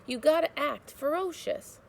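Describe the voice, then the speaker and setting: A woman voicing a gruff, bossy old countrywoman who speaks in rough rural grammar. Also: a little high, a little slow. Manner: rough rural speech, commanding.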